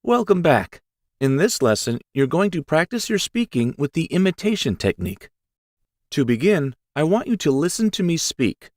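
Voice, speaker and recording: A man giving clear, encouraging English lesson instructions in a scripted style. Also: on the high side, very animated, normal speed, dry recording, clean studio sound. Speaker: English teacher.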